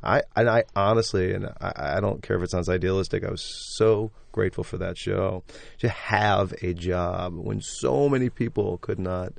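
An enthusiastic male stage actor speaks as an interview guest in a loud, somewhat braying voice. His voice is low, with a gravelly edge. The sound is dry, with no room around it. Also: normal speed, no echo. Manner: enthusiastic, effusive. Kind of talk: casual interview talk.

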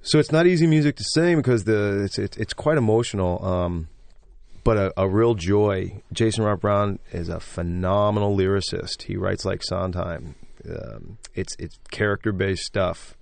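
A man, a stage actor and singer, talking enthusiastically as a guest in an interview, with a loud, braying voice. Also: low-pitched, normal speed, gravelly, no echo. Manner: enthusiastic, effusive. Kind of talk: casual interview talk.

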